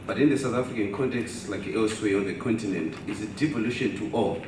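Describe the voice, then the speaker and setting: Man, professor, good-humoured, lowish voice, echoey hall. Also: quick pace. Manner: light, good-humoured, then pointed. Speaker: professor asking a question. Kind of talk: audience question at a conference. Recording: echoey hall, distant mic, noisy.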